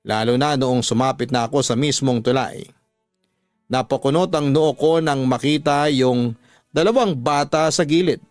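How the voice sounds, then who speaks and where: A man's voice: mid-range voice, normal speed, dry recording. Dry-voiced male storyteller over music. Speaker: radio drama narrator. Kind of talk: first-person dramatic story narration.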